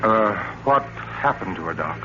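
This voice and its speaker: An actor playing an uneasy, suspicious husband who probes yet stays affectionate, in 1940s radio thriller style. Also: male voice, lowish voice, lively intonation, a little slow. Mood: curious. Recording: dull old radio recording with hum.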